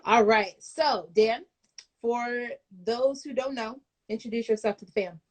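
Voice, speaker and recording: A woman's voice: a little high, measured pace. Upbeat chatty woman hosting a talk show. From United States. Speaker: host. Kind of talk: casual live-stream talk show.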